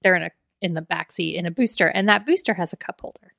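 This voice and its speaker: A woman chatting casually as a podcast co-host, her voice raspy from allergies. She talks in a chatty, easily amused way. The sound is close and dry, with no room around it. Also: fairly low pitch, quick pace, a bit bright, dry recording. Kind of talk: casual chat.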